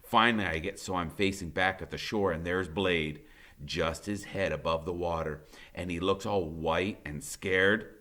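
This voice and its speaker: A man's voice: low-pitched, measured pace, sharp tone. A man reading a novel aloud as dramatic first-person narration, emphatic and suspenseful.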